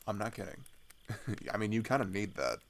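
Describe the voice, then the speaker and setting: Weary teenage runaway played by a man for intimate audio drama: low-pitched, hesitant, pitch wandering widely, often near a whisper. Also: expressive, moderate pace. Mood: casual, boastful. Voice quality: low, gravelly with vocal fry. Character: weary, hesitant teenage boy refugee climbing a mountain.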